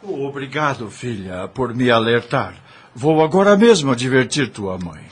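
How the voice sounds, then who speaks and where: A formal family father played by a man in a Brazilian radio play. His voice is very rough and breathy, moving widely in pitch as he speaks in an earnest, questioning way. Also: very animated, measured pace. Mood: grateful, firm. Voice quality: husky. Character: earnest, doubting gentleman, father of the house. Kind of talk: audio drama acting.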